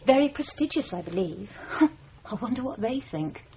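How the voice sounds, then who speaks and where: An actress playing a composed, wry young dancer in a radio drama, with a cool, reserved way of talking. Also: female voice, a little high, big pitch swings, moderate pace, crowd noise. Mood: wry, reminiscing. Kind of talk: naturalistic radio drama acting. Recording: dull, noisy old radio broadcast.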